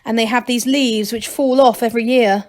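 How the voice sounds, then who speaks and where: A woman's voice: on the high side, fairly even intonation, moderate pace, natural voice. A woman voicing an earnest, insistent young male gnome in a children's storybook, plain-spoken. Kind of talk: children's storybook read aloud.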